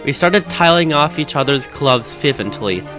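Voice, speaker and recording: A man's voice: mid-range voice, lively intonation, normal speed. A man reading fan fiction aloud as a dramatic narration, with music behind him.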